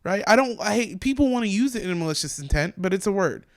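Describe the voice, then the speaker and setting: Fast-talking woman with a deep voice. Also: lively intonation. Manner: frank, talks fast in long run-on explanations. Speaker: stand-up comedian, podcast guest. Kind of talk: candid casual conversation.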